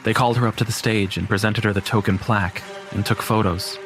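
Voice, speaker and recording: A man's voice: lowish voice, fairly even intonation, fast talker, over music. A man narrating a scripted first-person horror story.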